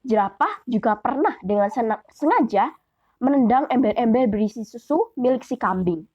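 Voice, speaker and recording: A woman's voice: on the high side, animated, moderate pace, dark tone, breathy edge, no echo, lo-fi sound. A young woman reading a scripted children's fable as storyteller. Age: young adult. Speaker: storyteller, student.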